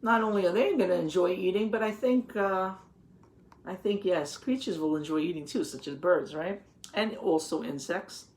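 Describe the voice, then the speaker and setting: A woman reading a children's picture book aloud, pausing to explain things simply and put questions to the young listeners. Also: on the low side, darkish tone. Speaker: read-aloud teacher.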